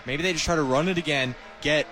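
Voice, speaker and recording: A man's voice: fairly high pitch, fast talker, over music, low-quality recording. A man with an American accent giving conversational, explanatory color analysis during a live football radio broadcast.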